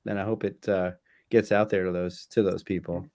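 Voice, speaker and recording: A man with a slightly deep voice talks informally as a guest in an interview. His delivery is halting, and he often doubles back on his phrases. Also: level tone, a little mellow. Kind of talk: casual conversational interview.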